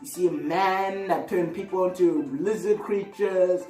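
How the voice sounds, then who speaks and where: A woman's voice: fairly low pitch, fairly even intonation, unhurried, mellow, recorded from a distance. Female video host, rambling and exclamatory, recorded off-mic in an echoey room with music underneath. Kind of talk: casual opinionated video commentary.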